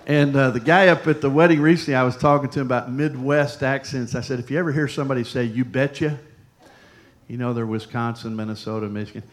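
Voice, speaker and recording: Male pastor, mid-pitched, chatty sermon delivery with anecdotes and quick asides. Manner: conversational storytelling, lively asides. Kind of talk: sermon with personal story.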